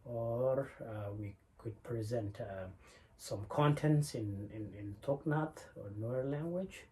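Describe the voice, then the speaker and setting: A man from South Sudan hosting his podcast alone, talking in a halting way with many fillers and repeated words. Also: medium pitch, mellow. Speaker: podcast host. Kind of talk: solo podcast monologue.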